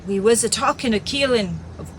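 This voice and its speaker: A woman reading an audiobook, voicing a meek old gray-haired male sailor with low, mumbling replies. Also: brisk, a little hiss or hum, close to an everyday voice. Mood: sheepish.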